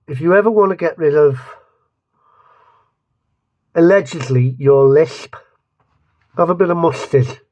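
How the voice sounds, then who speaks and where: A man's voice: fairly high pitch, darkish tone, no room sound. A man with a lisp giving a rambling, joking taste-test review, often restarting his sentences. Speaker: vlogger reviewing mustards. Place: home studio.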